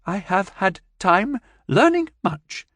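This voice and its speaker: A man putting on a halting, high childlike alien girl. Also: very animated, a bit bright, no echo, studio quality, full character voice. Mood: earnest. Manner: broken formal phrasing, slow and careful. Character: young female alien creature, childlike, halting speech.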